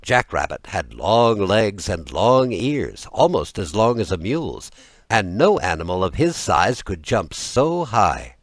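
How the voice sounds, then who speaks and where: A man reading a fairy tale as an audiobook narrator, with expressive, deliberate storytelling. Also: lowish voice, no echo, studio quality.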